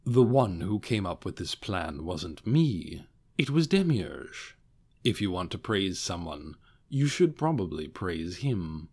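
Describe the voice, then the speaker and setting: Man acting a cautious, awkward overlord, lively intonation. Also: sits low, breathy edge, heavily acted. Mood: modest. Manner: hesitant, measured. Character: awkward, cautious male overlord bluffing as wise ruler.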